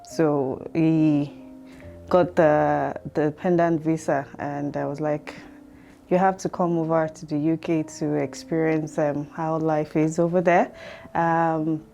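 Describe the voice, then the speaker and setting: Low, mellow Nigerian woman, hesitant. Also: flat delivery, takes it slowly, vocal fry, lo-fi sound, faint music behind. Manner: hesitant, many ums, soft-spoken. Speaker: guest. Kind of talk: telling her life story. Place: studio.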